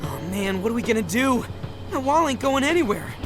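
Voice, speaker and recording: Brash, animated teenager. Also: female voice, on the high side, fairly quick. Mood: exasperated. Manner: blunt, exclamatory. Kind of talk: dubbed video game cutscene acting. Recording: loud music behind the voice.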